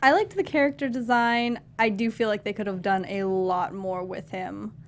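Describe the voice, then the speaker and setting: A woman's voice: medium pitch, moderate pace. A woman co-hosting a casual film discussion, speaking informally. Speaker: talk show co-host. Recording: clear background noise.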